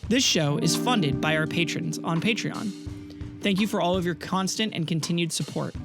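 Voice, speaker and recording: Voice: medium pitch, normal speed, gravelly. A game master telling a story casually and informally, with playful little touches. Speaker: game master and podcast host. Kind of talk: narrating a tabletop game, casual and improvised. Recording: prominent music behind the voice. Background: music.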